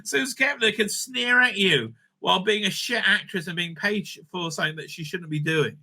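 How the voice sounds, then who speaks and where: A man's voice: high voice, moderate pace, bright, forceful tone. A man giving casual, unscripted online commentary, sweary, sarcastic and exasperated in manner. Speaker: online commentator reviewing audio drama releases.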